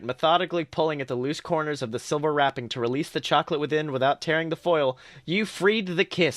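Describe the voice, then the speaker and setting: A man's voice: mid-range voice, a bit bright, dry recording, clean studio sound. A man, a podcast host, reading fan fiction aloud as second-person narration, now and then stumbling over phrases.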